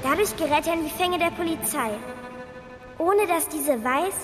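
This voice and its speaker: A female performer playing a bright, persistent young daughter with a direct, probing manner in a children's radio play. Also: extremely high pitch, normal speed, faint music behind. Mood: eager. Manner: direct, probing, matter-of-fact. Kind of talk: German children's radio drama dialogue.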